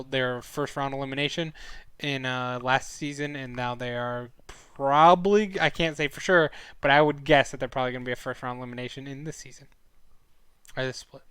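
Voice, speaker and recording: A man's voice: medium pitch, a bit bright, clear, resonant tone, no room sound. A young man hosting a casual live-stream review, chatty and rambling, his throat sore as he starts losing his voice. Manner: chatty and rambling, with frequent fillers and self-corrections. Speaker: esports analyst streaming a weekly review.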